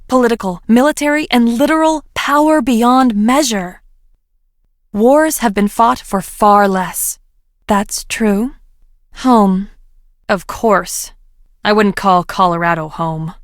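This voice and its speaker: A woman's voice: medium pitch, dry recording, natural voice. Actress playing a guarded, brainy country-bred woman scientist, dry sound. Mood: intense, urgent, then guarded, evasive. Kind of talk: video game character voice lines.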